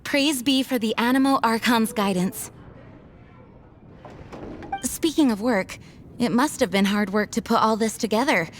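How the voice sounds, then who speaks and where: A dutiful young female knight commander from a video game, played by an actress. She is polite and formal in a fairly high voice. There is faint background noise. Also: unhurried, long pauses, natural voice. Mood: modest, grateful.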